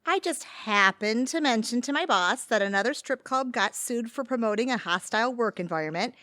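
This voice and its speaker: Woman playing a very high, perky young dancer. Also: lively intonation, normal speed, a bit bright, dry recording, clean studio sound. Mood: cheery. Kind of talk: audio theatre comedy acting.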